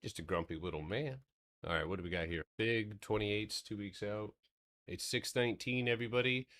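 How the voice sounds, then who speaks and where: A man with a very deep voice hosts a relaxed livestream recap of the market. His talk is loose and rambling, with casual swearing. He settles into a steady, explanatory tone when he walks through chart levels. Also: brisk. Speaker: stock trading streamer.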